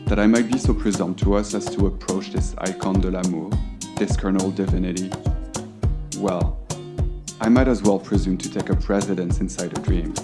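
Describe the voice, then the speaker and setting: A very low-voiced film director, played by a man, pours out ornate, worshipful devotion. The delivery is literary and ardent, like a love letter read aloud in an audio drama. Plucked guitar music plays clearly behind the voice. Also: steady tone, normal speed, lightly acted. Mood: impassioned devotion. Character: French film auteur, ardent, florid romantic letter writer.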